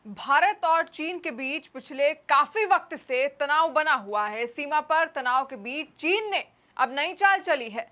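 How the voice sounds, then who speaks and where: A woman's voice: high-pitched, expressive, unhurried, few pauses, sharp tone. A woman presenting scripted news in a formal, emphatic delivery.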